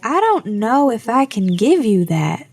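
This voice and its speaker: A woman playing a level-headed, guarded young adult daughter in an audio drama, measured and dry in manner. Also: on the high side, sing-song, husky, faint music behind, heavily acted.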